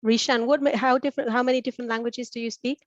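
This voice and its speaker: A woman's voice: a little high, steady tone, fast talker, no room sound. A woman hosting a webinar, thanking a speaker and asking an interview guest questions with many restarts. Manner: warm, hesitant, many fillers and restarts. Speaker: webinar host. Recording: dry, dull lo-fi webinar audio.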